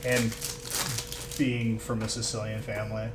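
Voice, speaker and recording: A man's voice: fairly low pitch, level tone, a little slow. A young man from the Chicago suburbs with a Chicago accent, chatting casually on a live stream and using lots of "like" fillers. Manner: easygoing, many "like" fillers. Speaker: co-host of a card-opening stream. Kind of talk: casual chat. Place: shop room during a live stream. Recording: echoey room with background noise.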